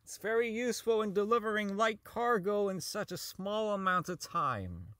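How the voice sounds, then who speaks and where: A man's voice: sits high, animated, normal speed, bright, forceful tone, no echo, studio quality. A man playing a socially inept, unravelling robot designer in an audio drama log, rambling, stammering, swearing and repeating himself. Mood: proud pitch.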